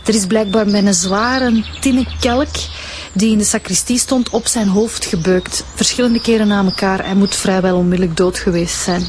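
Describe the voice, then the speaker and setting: A woman's voice: mid-range voice, steady tone, normal speed, a little mellow, a little hiss or hum, natural voice. A woman with a Flemish accent playing a matter-of-fact, observant homicide detective in a radio drama.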